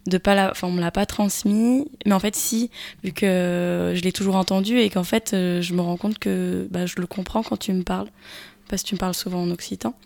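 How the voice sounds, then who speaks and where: A woman's voice: lowish voice, fairly even intonation, brisk, gravelly, darkish tone, no room sound. A woman in a casual radio discussion, talking in a thoughtful, rambling way and often correcting herself. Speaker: radio show panelist.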